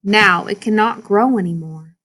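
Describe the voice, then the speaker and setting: A woman reading a children's picture book aloud in an expressive storytelling manner for young listeners. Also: medium pitch, normal speed. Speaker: story reader.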